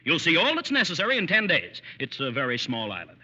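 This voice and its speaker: An actor playing a gruff, impatient middle-aged judge and boss in old-time radio style, brusque and dismissive. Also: male voice, a little high, huge pitch swings, fast talker, pushed and bright, full character voice. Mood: stern, impatient. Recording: muffled vintage radio broadcast recording.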